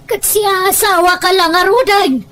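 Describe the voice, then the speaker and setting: Woman acting shrill, scolding Filipino wife. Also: steady tone, normal speed, a bit bright. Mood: indignant. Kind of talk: Ilocano audio drama acting.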